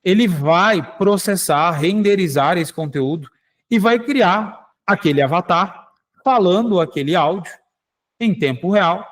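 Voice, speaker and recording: A man hosting a show, demonstrating software live and explaining it to listeners, often checking in with "tá?" and "né?". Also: sits high. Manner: explanatory, addresses listeners directly with frequent "tá?" and "né?". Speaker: radio show host.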